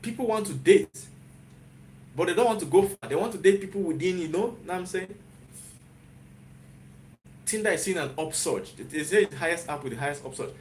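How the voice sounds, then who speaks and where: A man's voice: sits high, animated, a little fast, pauses often, a little mellow. A man with a Nigerian accent, a YouTuber talking to viewers in a live stream, urging them on and checking in with them again and again. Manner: motivational, repetitive, checks in with "you get?". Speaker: YouTuber and software developer. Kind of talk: live-stream pep talk.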